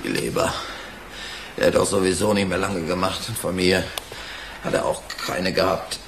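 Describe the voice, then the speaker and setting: A man's voice: low voice, steady tone, normal speed, background noise. An actor playing a frightened, guilty man in a German radio crime drama, stammering, groaning and making evasive excuses. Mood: guilty pleading.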